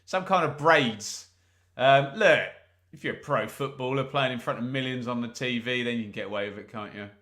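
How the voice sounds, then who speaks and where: A man's voice: mid-range voice, normal speed, a bit bright. A man hosting a live football watch-along, commentating on the play and chatting to viewers with jokes and asides. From England. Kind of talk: live match commentary and chat.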